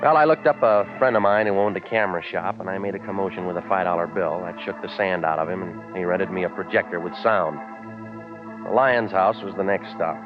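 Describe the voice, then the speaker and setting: An actor playing a hard-boiled, sardonic private eye in 1940s radio noir, terse and deadpan. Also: male voice, deep voice, brisk, over music, natural voice. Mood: deadpan narrating. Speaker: narrating detective lead. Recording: muffled vintage radio recording.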